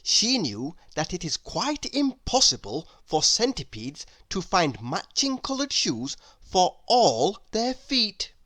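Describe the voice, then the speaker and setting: A woman reading a children's story aloud in an expressive, deliberate storytelling manner. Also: on the low side, no echo, studio quality. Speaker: storybook reader. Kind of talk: scripted read-aloud narration of a children's story.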